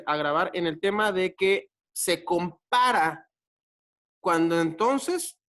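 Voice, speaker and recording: A man's voice: high-pitched, fairly bright tone. A man with a Mexican accent, a chef arguing insistently and restarting his sentences as he pushes back. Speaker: chef and debate guest. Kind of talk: casual debate.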